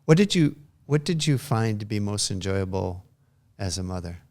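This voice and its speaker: Male host, low booming voice, chatty and friendly interviewer. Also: animated, moderate pace, a little mellow. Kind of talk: casual interview chat.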